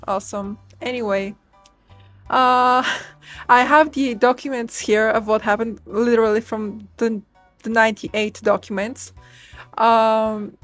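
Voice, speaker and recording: A woman in her twenties from Bulgaria, telling personal stories at home in a casual, rambling way full of fillers and restarts. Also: on the high side. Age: 20s. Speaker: vlogger. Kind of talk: casual personal storytelling to camera.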